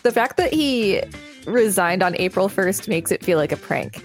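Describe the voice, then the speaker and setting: A woman's voice: mid-range voice, lively intonation, normal speed, soft background music. A woman co-hosting a podcast, reading an article aloud with casual commentary. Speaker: podcast co-host.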